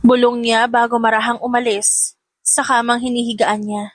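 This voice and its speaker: A female audiobook narrator with a fairly high voice reads scripted drama. The recording is dry and close, with no room echo.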